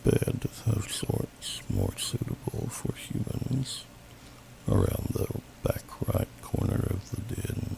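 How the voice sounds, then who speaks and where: A man voicing a huge, gentle older male dragon in a deep, gravelly half-whisper, with slow, courtly, old-fashioned speech in an ASMR roleplay. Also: natural voice. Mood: gentle, hospitable. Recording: noisy amateur home microphone.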